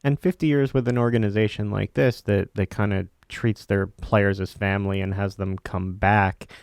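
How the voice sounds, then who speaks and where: A man with a fairly low voice hosts a sports talk show. He sets up questions in a casual, conversational style. The recording is dry, with very little room sound. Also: steady tone, normal speed, vocal fry, no room sound.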